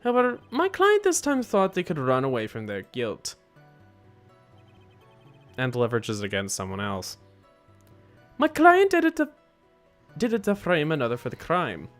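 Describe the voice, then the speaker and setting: A man voicing a cold, formal hired killer from a video game, in a deliberate, pompous manner. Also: on the high side, wildly swooping pitch, a bit bright. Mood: indignant, cold. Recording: music behind the voice.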